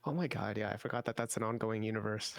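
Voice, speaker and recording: A man's voice: lowish voice, steady tone, fast, creaky, no echo. A man co-hosting a podcast, talking casually with slangy, emphatic enthusiasm. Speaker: podcast co-host. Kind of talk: casual chat.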